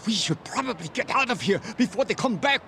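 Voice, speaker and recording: An actor playing an excitable, polite foreign male restaurant boss in a video game, earnest and fretful. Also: very high-pitched, fairly quick, creaky, slight background noise, heavily acted. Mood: apologetic, worried. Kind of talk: video game cutscene acting.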